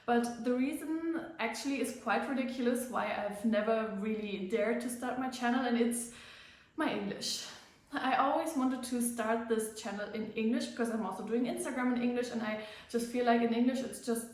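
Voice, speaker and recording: A woman with a noticeable German accent talks casually to her viewers, her voice sitting a little high. She chats along with plenty of fillers and a slightly self-conscious manner. The room around her rings with heavy echo. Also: moderate pace. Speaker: fitness vlogger. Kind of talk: casual vlog to camera. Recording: very echoey room.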